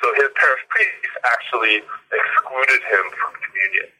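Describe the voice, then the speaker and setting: Husky bright-voiced man with many fillers. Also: mid-range voice, big pitch swings, moderate pace, poor audio. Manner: thoughtful, with many fillers and repeated words. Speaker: author being interviewed. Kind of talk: conversational interview.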